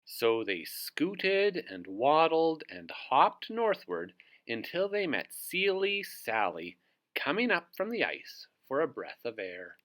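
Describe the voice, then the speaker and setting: A storyteller narrating a children's book in an expressive read-aloud style. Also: mid-range voice, takes it slowly. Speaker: storybook reader.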